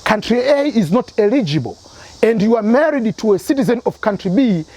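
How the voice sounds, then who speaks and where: A man's voice: high-pitched, very animated, brisk, a little mellow, no echo. A man from Tanzania, hosting an advice channel and explaining his points through repeated examples. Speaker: immigration advice channel host.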